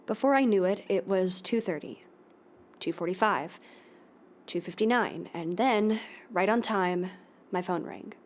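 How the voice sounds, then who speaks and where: A woman playing a determined investigative journalist in an audio drama, speaking in a deliberate, earnest way. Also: mid-range voice, close to an everyday voice. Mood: grave narration. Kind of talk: audio drama, scripted investigative podcast narration.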